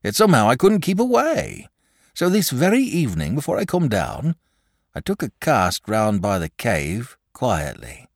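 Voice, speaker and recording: A man's voice: mid-range voice, wildly swooping pitch, moderate pace, no room sound, studio quality, voice pushed up. A man voicing a nervous, superstitious country shepherd and father from a storybook, rambling on emphatically in rural dialect. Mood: awed, nervous. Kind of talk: storybook character voice.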